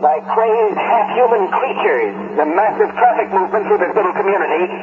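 Very loud noise and a tinny radio relay surround a rattled male field reporter. His voice is high, and he stammers and repeats himself through an on-scene radio drama report. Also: lively intonation, fairly quick. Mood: rattled, urgent.

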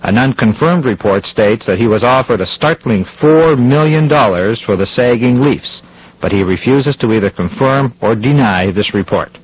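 A man playing a sober radio news reader, speaking in a formal, measured announcer delivery. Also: mid-range voice, lively intonation, close to an everyday voice. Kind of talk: scripted radio news bulletin. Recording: noisy radio broadcast sound.

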